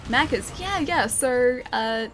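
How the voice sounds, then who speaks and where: Chatty Australian woman, slightly croaky. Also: sits high, animated, takes it slowly, noisy background. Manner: chatty, rambling, frequent ums and laughs. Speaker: streamer. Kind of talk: casual gameplay chat, reading viewer comments. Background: loud game music.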